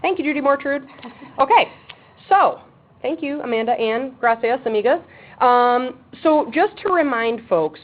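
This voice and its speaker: A woman chairing a webinar for an advisory board, talking briskly and practically with frequent ums. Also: sits high, expressive. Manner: says she has a loud voice. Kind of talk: webinar presentation. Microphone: speakerphone on a table. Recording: tinny phone line with faint background noise.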